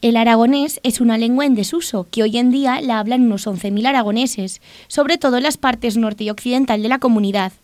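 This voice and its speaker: A woman's voice: fairly high pitch, fairly quick, darkish tone, dry recording. A woman with an accent from Spain reading scripted narration for a radio news report. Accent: Spanish from Spain.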